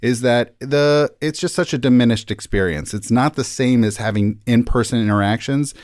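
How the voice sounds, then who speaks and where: A man's voice: mid-range voice, normal speed, darkish tone, no room sound, clean studio sound. A man speaking as a rabbi and interview guest, conversational, reasoning aloud and rephrasing for emphasis.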